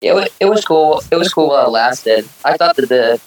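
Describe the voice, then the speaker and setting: A man who sings in a band, answering questions in a relaxed, halting way. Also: fairly high pitch, rough recording. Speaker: band singer, guest. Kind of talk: casual interview answers.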